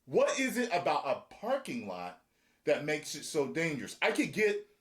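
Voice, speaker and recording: A man hosting a casual reaction chat, with a slightly raised voice whose pitch swings widely. He talks in an animated way and acts out other people's remarks as he goes. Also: moderate pace. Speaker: show host.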